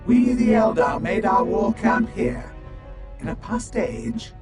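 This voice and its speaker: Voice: medium pitch, big pitch swings, takes it slowly. An actor playing a deferential alien elf follower in a video game, speaking formally to a superior. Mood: solemn. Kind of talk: dramatic video game acting. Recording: echoing voice under music.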